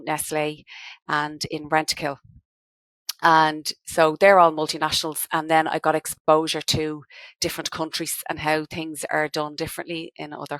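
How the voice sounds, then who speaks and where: A woman's voice: low voice, a bit bright, no room sound. A woman in her forties from Dublin with a Dublin accent, a business owner chatting in a podcast interview, explaining things step by step. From Dublin, Ireland. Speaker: guest, credit management business owner.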